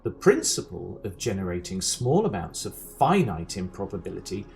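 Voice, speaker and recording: A wry male narrator of a comic space guide, played by a man. He reads deliberately in a lowish voice with lively inflection. Faint music runs underneath. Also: creaky, exaggerated voice. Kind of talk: scripted comic audio drama narration.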